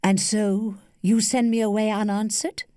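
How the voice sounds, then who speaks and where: A woman voicing an earnest young gentleman suitor in a storybook, formal and reproachful with boyish bitterness. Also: medium pitch, level tone, measured pace, clear, resonant tone, no room sound, clean studio sound, voice pushed up, lightly acted. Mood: hopeful, reluctant.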